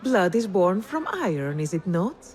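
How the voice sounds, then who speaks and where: An actress playing a poised, shrewd and enigmatic perfumer in a video game, elegant and formal in her measured speech. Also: on the low side, sing-song, darkish tone, soft background music, a faint crowd. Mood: poised, probing. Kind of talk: dramatic game cutscene acting.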